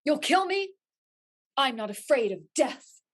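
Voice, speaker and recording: A woman's voice: high-pitched, very animated, measured pace. A woman playing a defiant young male hero with earnest, melodramatic declarations in a stage musical reading.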